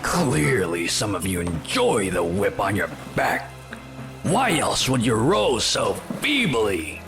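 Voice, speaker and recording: Very deep, menacing pirate captain. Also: male voice, huge pitch swings, slow pace, gravelly, music in the background, heavily acted. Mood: harsh, bullying. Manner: imperious, formal, stilted phrasing. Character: menacing, proud pirate captain of ancient sea raiders. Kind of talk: audio drama acting.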